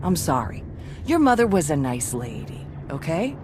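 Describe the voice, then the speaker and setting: A woman's voice: low voice, sing-song, normal speed, background noise. An actress playing a brash, insolent young woman, a thief and engineer in a video game, with a sarcastic, slangy delivery. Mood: grudging. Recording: loud music underneath.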